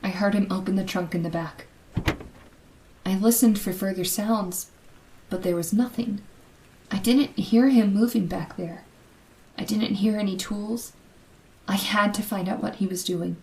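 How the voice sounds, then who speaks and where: A woman's voice: medium pitch, close to an everyday voice, low-quality recording. An actress playing a frightened young woman held captive by a killer, telling her story in a suspenseful old-time radio horror drama. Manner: breathy dramatic suspense, hushed and halting when afraid.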